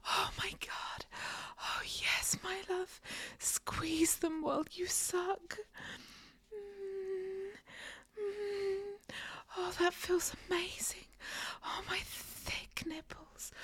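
A woman speaks in a breathy, sighing whisper, close to the microphone. Her voice sits high and she takes her time over each phrase. The sound is dry, with no room around it. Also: no room sound. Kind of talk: intimate whispered audio roleplay.

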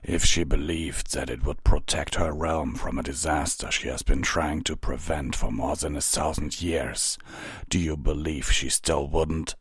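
A stern, patient stallion captain in armor, voiced by a man reading a storybook, with a very low voice. He speaks in a measured, authoritative yet gentle way, sounding close and dry. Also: vocal fry, slightly rough, dry recording, exaggerated voice. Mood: calm, grave.

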